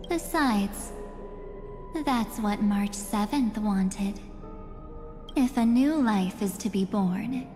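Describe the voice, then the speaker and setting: A woman voicing an enigmatic young female memory spirit in a video game, coaxing and softly menacing, with slow, breathy delivery. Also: mid-range voice. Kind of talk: scripted video game dialogue.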